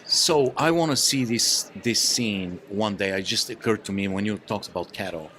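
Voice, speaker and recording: A man's voice: fairly low pitch, moderate pace, a bit bright. A man chatting and joking casually as a panelist on a podcast.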